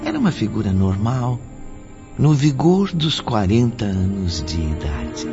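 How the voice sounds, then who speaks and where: A man's voice: lowish voice, huge pitch swings, a little slow, heavily acted. A man as the storyteller of a radio play, giving dramatic scripted narration. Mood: solemn suspense. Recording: dull lo-fi audio under music.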